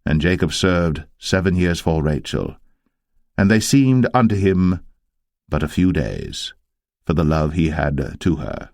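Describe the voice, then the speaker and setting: A male narrator reads scripture in a low voice. His delivery is solemn and measured, like scripted storytelling, and the recording is close and dry. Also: sing-song, creaky, a little mellow, no echo.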